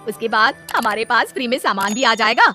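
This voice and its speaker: Sly elder daughter-in-law played by a woman, highish. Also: lively intonation, a little fast, pushed and bright, soft background music. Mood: scheming. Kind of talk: cartoon story dialogue.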